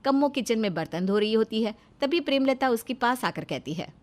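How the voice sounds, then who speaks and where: A woman storyteller reads a scripted moral tale. Her voice is fairly high, with lively, wide movement in pitch. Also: fairly quick.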